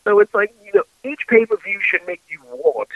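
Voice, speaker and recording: A man's voice: high voice, big pitch swings, normal speed, no room sound, narrow, tinny audio. A man co-hosting a casual wrestling podcast, joking with theatrical exclamations. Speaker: podcast co-host.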